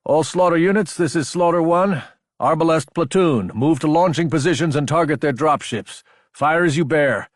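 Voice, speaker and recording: Young mech commander, clipped military manner, commanding, husky, sci-fi audiobook, acted by a man. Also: a little high, moderate pace, no echo, clean studio sound, pitched above the performer's own voice, lightly acted. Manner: clipped military radio orders.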